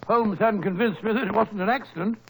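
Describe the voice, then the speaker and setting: A man's voice: high-pitched, fairly quick, fairly bright tone. A man playing a fussy, bumbling, loyal companion to a detective, in a 1940s radio mystery style. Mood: flustered, hesitant. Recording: slightly noisy old radio recording.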